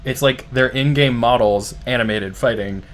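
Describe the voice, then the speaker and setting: A man hosting a live stream, reacting to a game trailer in casual, excited, rambling talk full of "like". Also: medium pitch, normal speed. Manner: excited, rambling, heavy use of "like". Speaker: streamer host.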